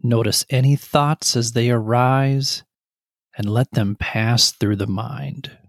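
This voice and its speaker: A middle-aged man guiding a meditation, calmly and gently, in short, measured phrases. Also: mid-range voice, gravelly, no room sound, clean studio sound. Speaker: meditation guide. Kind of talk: guided meditation narration.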